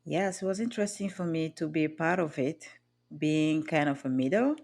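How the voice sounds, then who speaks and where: A woman's voice: deep voice, normal speed, gravelly, darkish tone. Cameroonian-accented woman, earnest guest. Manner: earnest, with frequent restarts and light laughs. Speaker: podcast guest, booking agent. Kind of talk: reflective interview talk.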